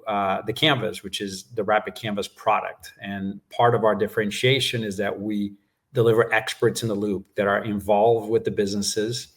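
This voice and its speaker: Man, low voice, native Portuguese speaker, measured explanations, business interview guest. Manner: measured explanations with frequent "uh" and "right?". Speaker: guest executive.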